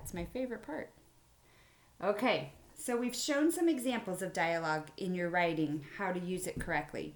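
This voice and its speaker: A woman teacher giving a children's writing lesson in simple, explanatory phrases. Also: lowish voice. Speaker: elementary school teacher. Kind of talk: read-aloud writing mini-lesson for children.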